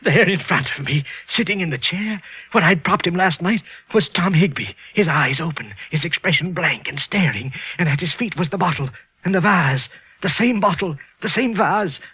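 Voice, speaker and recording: Jittery, guilty radio-drama clerk. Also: male voice, sits high, animated, moderate pace, husky, dry recording, full character voice. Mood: panicked, horrified. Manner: stammering, nervous, hesitant. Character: jittery, guilty male office clerk, murderer narrating his unraveling. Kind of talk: 1940s radio suspense acting and narration. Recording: dull vintage radio recording with music.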